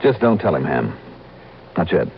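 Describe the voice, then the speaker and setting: An actor playing a brisk, shrewd insurance investigator in an old American radio drama, narrating his own case in a clipped, wry way. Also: low-pitched, very animated, slight background noise. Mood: intent. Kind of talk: mid-century American radio detective acting, first-person narration. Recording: muffled vintage radio recording, organ music.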